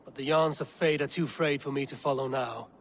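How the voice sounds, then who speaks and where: A man's voice: medium pitch, brisk, creaky, a little hiss or hum, close to an everyday voice. Man playing a grave, resolute Viking warrior. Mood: sombre, determined. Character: battle-hardened Viking warrior leader, sombre and resolute. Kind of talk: video game dialogue acting.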